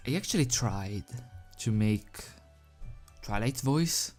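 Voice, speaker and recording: Casting: unhurried amateur host-reader, hesitant and self-effacing, medium pitch, music underneath. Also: animated, darkish tone. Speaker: video host and fan fiction reader. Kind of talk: casual rambling chat, then storybook narration. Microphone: desk microphone without pop filter.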